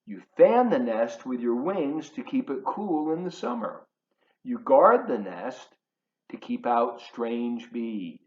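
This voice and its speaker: A man's voice: medium pitch, sing-song, slow. A man reading a picture book aloud to young children as a volunteer, playfully addressing them directly in his living room. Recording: roomy, slightly dull home video sound.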